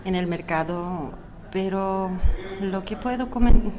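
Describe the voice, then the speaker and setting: A young woman from Bulgaria with a Bulgarian accent, answering interview questions in slightly halting non-native Spanish. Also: medium pitch, crowd noise. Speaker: interview guest.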